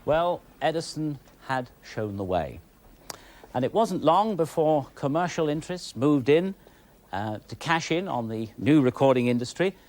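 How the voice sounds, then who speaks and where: A British man presenting a history programme in a measured, explanatory and slightly wry way. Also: a little high, lively intonation, a little mellow. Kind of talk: television history programme narration.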